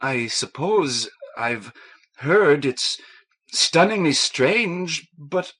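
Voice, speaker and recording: A man voicing a gentle, timid, warm-hearted young woman with an eager manner in an audiobook. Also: a little high, animated, drawn-out words, slightly rough, voice pushed up, exaggerated voice. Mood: nervous. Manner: eager, stammering when upset.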